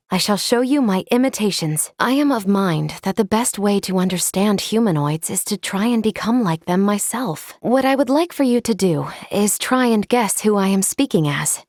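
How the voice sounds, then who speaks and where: An actress playing a calm, analytical android companion in a video game, gently caring, with formal, precise diction. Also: female voice, mid-range voice, normal speed, few pauses, no echo, studio quality, natural voice. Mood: composed, observant. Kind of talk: video game character dialogue.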